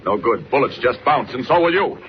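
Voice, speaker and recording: An actor playing a brave, commanding superhero in a 1940s radio serial, thinking aloud in urgent declamatory lines. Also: male voice, medium pitch, expressive, brisk, natural voice. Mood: commanding, threatening. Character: male superhero reporter in secret detective action, brave and commanding. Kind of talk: 1940s radio serial acting, narrating his own actions. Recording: noisy, muffled 1940s radio recording.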